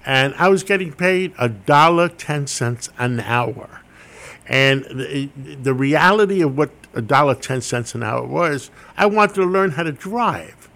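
A man's voice: a little high, lively intonation, measured pace, fairly bright tone. Older New man telling wry stories. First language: Greek. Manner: anecdotal, wry, self-deprecating. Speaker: guest. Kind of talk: interview storytelling.

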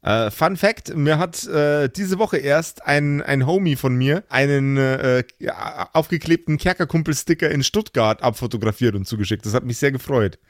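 A man narrating and bantering as game master of a tabletop audio drama. He speaks at a middle pitch, playful and a bit stammering in chat. The sound is dry and close. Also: normal speed, hardly any pauses, no echo.

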